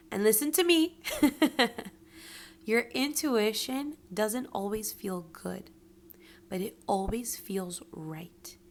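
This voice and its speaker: A woman with a hoarse, raspy voice and a gravelly edge talks earnestly about pain and healing, encouraging the listener. She often drops to a soft whisper to stress a point. A faint hiss sits under the recording. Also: medium pitch. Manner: earnest, motivational, slips into whispers for emphasis. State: hoarse from yelling. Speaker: podcast host. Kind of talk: personal reflective monologue.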